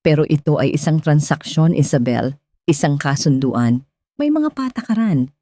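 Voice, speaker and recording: A woman storyteller plays an emotionless tycoon who gives orders as if closing a business deal. The delivery is curt and deadpan, in a dramatic love story with a close, dry sound. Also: low voice, moderate pace, dry recording. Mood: cold, businesslike. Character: cold, emotionless billionaire boss, a man.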